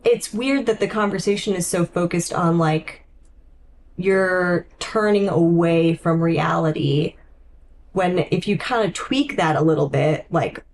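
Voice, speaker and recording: Low-voiced woman, thoughtful podcast chat, some noise. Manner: thoughtful, halting phrasing with frequent "like". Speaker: podcast co-host. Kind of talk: casual literary discussion.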